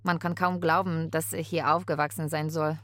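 A woman playing a carer who narrates a daily routine in a radio drama, measured and matter-of-fact. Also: low-pitched, level tone, very noisy, lightly acted.

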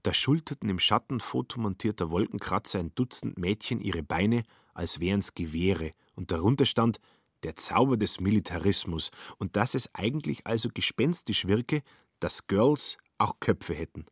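Gravelly, low-voiced male storyteller. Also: moderate pace, no echo, studio quality. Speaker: narrator. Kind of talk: literary radio drama narration with acted dialogue.

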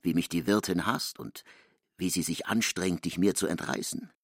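An actor playing a defensive, embittered lover pleading his case in a literary audio drama, gravelly and husky. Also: low voice, normal speed, no echo, studio quality, exaggerated voice. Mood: defensive, bitter.

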